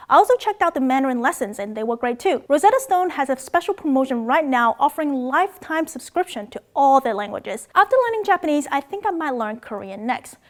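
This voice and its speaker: High-pitched woman from macau hosting a language video, upbeat and playful, pitch jumps up when she gets excited. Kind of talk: scripted educational narration.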